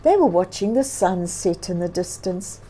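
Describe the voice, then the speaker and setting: A woman reading a children's picture book aloud as a storyteller, with dramatic, expressive emphasis and chatty asides to young listeners. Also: on the low side, normal speed.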